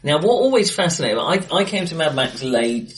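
A man's voice: a little high, sing-song, brisk, distant mic. A man co-hosting a film podcast in casual chat, hesitant with lots of fillers and laughs. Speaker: podcast co-host. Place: acoustically bright room, recorded face to face. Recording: roomy, off-mic sound.

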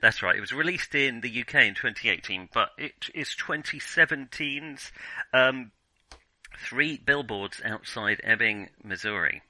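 Guy hosting a film chat podcast, really bright sharp voice, dry close sound, kinda hesitant and self-deprecating. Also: mid-range voice, animated, a little slow, no echo, rough recording. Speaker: podcast host. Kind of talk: casual film discussion.

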